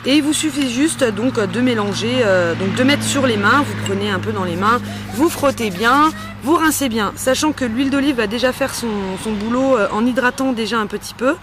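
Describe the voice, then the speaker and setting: A woman's voice: a little high, moderate pace, hardly any pauses, over music. A woman hosting a casual step-by-step tutorial in a chatty, playful and informal way. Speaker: host of a homemade beauty-care tutorial. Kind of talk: casual spoken step-by-step demonstration.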